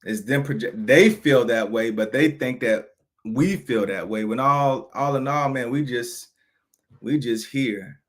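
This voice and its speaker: A man's voice: mid-range voice, a little slow. A man in his thirties giving an unscripted personal monologue, frank and aggrieved, often restarting and repeating phrases. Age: 30s. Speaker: vlogger.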